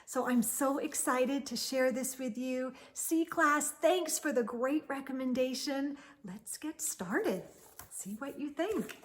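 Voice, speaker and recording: Woman reading a picture book to kids, high voice, really slow and deliberate, stretches words out, expressive. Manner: measured, deliberate phrasing with drawn-out words. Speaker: children's storytime host and reader. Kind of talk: expressive picture-book read-aloud for children.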